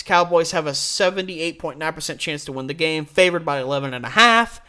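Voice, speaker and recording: A man's voice: high voice, fairly bright tone. A man with an American accent hosting a sports show, giving opinionated football picks and stressing key words emphatically. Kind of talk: college football picks commentary.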